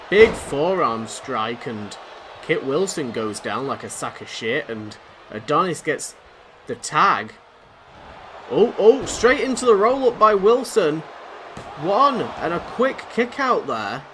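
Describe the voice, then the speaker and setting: Excitable man calling a match. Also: a little high. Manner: excitable, rambling, self-deprecating. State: losing his voice. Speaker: YouTuber commentating a wrestling video game. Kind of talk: play-by-play commentary. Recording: noisy background.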